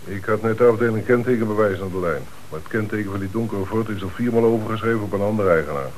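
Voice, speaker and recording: A man's voice: on the low side, quick pace, close to an everyday voice. A man acting in a radio play as a wry, grumbling, matter-of-fact police detective. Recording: noisy, crackly old radio play recording.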